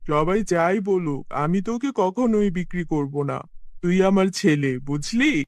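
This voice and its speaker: A poor village farmer, played by a man, talks in a fairly high voice with a dry, close sound. He is plain-spoken and stubborn yet tender, in the manner of a children's storybook cartoon. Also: expressive, a little slow, no echo.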